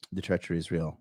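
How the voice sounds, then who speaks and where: Raspy, blunt-talking male livestream host. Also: deep voice, no room sound, clean studio sound. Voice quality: slightly nasal. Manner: blunt, crude, talks back to live chat. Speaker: podcast host. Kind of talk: livestream commentary reading an article and chat.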